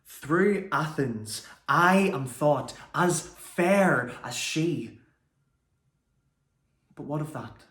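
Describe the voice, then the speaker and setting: Man as rejected young lover, Shakespearean verse, aching and bitter, drawn-out phrasing, raised pitch. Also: animated, a bit bright, echoey room, exaggerated voice. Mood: wistful, hurt. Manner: deliberate, drawn-out delivery with weighted pauses.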